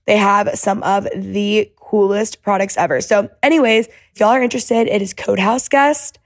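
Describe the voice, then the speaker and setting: A woman's voice: mid-range voice, steady tone, moderate pace, dry recording, studio quality. A woman in her twenties hosting a podcast in a casual, chatty, gushing and enthusiastic way. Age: 20s. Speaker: podcast host. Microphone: close podcast microphone.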